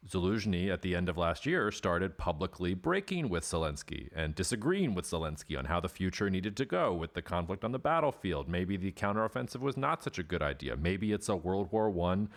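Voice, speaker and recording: Deep-voiced man asking earnest questions. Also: very animated, brisk, hardly any pauses. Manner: earnest, hedging, many "sort of" qualifiers. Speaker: podcast host. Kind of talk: interview questions.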